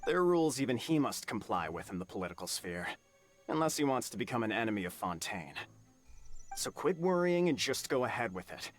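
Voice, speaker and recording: A man's voice: mid-range voice, big pitch swings, a little fast, soft background music. A man voicing a confident, scheming political villain in a video game, sneering and dismissive. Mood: smug, scheming.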